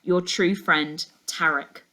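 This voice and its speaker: A woman's voice: fairly low pitch, fairly even intonation, takes it slowly, bright, forceful tone, rough recording. A woman voicing a shy, desperate schoolboy's written messages in a children's story, solemn and earnest. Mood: solemn, urgent. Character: shy, desperate schoolboy writing a farewell letter.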